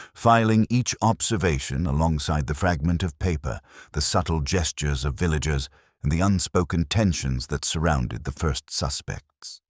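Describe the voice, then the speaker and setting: Very low-pitched man, lively intonation, audiobook mystery narration, measured and formal, dry close sound. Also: dry recording. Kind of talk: scripted mystery story narration.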